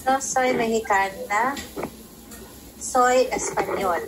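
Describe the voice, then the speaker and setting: A woman speaks her practice sentences very slowly, pausing and unsure of her endings. Her voice is a little high, coming over a video call with faint noise behind it. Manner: slow, careful, unsure of word endings. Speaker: student. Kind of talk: practicing phrases in a class.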